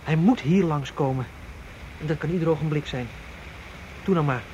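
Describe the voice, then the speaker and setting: A man's voice: on the high side, normal speed, dark tone, natural voice. A man playing a tense, self-assured getaway planner in a naturalistic radio drama, with a clipped, instructing manner. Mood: impatient, insistent. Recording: dull, noisy radio drama recording.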